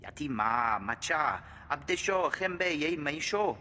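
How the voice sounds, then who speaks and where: In a long reverb, a dramatic male alien from a video game speaks an invented tongue. His voice is high and bright, swinging widely in pitch. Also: expressive, measured pace. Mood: grave, dramatic. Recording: long reverberant hall, faint ambient noise.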